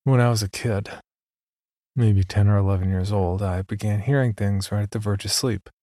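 A man's voice: on the low side, fairly even intonation, normal speed, warm tone, no echo, studio quality. A man narrating a scripted horror story as a podcast reader.

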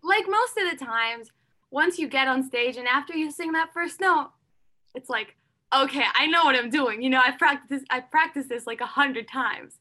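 A woman's voice: high voice, normal speed, bright, forceful tone. A young woman singer-songwriter answering interview questions, earnest and open, often saying "like" and "you know". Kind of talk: interview conversation.

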